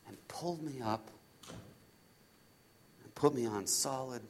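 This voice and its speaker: A man performs an impulsive disciple in a dramatic first-person Bible monologue. His voice sits high for a man. He stumbles and repeats phrases for emphasis, moving between hushed storytelling and loud, emotional outbursts. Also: animated, a little hiss or hum. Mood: hushed wonder. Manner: halting, repeating phrases, swinging from hushed to shouting.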